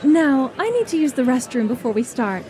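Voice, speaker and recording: A woman playing a sly, sweetly scheming alien who passes as human, measured and falsely reassuring, in an amateur audio drama. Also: high voice, very animated, mellow, a faint crowd.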